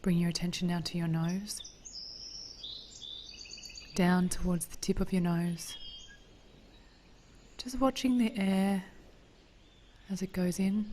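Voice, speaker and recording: Female meditation teacher: dark, soft tone, very slow gentle pacing, near-whispered phrase endings, light birdsong ambience. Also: fairly low pitch, slight background noise. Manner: soothing, gentle, breathy delivery drifting toward a whisper. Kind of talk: guided body scan meditation.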